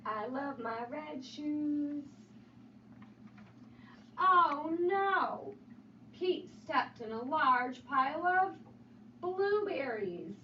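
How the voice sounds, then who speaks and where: A woman reading a picture book aloud to children in an animated, sing-song way, with repeated refrains and questions. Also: high voice, drawn-out words, long pauses. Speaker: storybook reader. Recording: roomy, distant, dull sound with faint noise.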